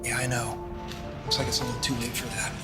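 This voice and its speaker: An actor playing a troubled, stubborn, devout business owner in a film drama. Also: male voice, mid-range voice, level tone, fast talker, loud music behind the voice, close to an everyday voice.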